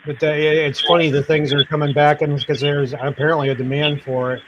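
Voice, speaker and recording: Rambling podcast host, chuckling. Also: male voice, fairly high pitch, level tone, a little fast, fairly bright tone, muffled recording, slight background noise. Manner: rambling, laughs often, repeats himself. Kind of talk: casual chat.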